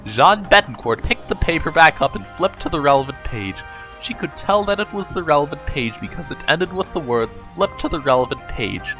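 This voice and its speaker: A man's voice: a little high, big pitch swings, fairly quick, sharp tone, faint music behind, rough recording. A man giving a theatrical, emphatic dramatic reading of a comedic story. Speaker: narrator reading a book aloud.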